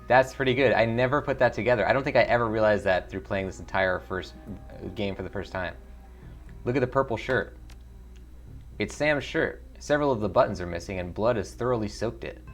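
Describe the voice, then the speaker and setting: A man streaming a video game, switching between casual commentary and reading the narration aloud. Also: fairly low pitch.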